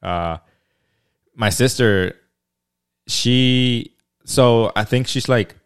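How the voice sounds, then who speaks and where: A man from Mexico hosting a casual podcast chat, speaking unaccented English in a relaxed, hesitant way with many fillers and restarts. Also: medium pitch, drawn-out words, clear, resonant tone. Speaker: podcast host.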